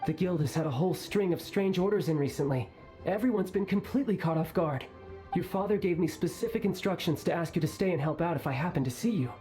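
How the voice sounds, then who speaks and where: A woman's voice: on the low side, fast talker, dark tone, soft background music, lightly acted. Woman voicing a deferential guild clerk. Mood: eager. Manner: deferential, eager. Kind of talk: game dialogue.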